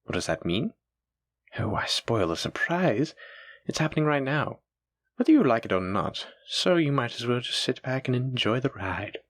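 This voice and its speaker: A man playing a doting, gently possessive dragon-blooded librarian, speaking tenderly and coaxingly to his sweetheart in an intimate audio roleplay. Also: mid-range voice, big pitch swings, moderate pace, no room sound. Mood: playful, coaxing. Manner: tender, coaxing, affectionate, reassuring. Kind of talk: intimate scripted audio roleplay addressed to the listener.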